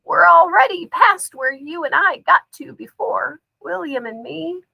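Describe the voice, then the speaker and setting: A woman's voice: very high voice, lively intonation, unhurried, gravelly, dull audio, voice pushed up, exaggerated voice. A woman voicing an eerie old grandmother speaking through someone else, wistful and pleading, in a storybook reading.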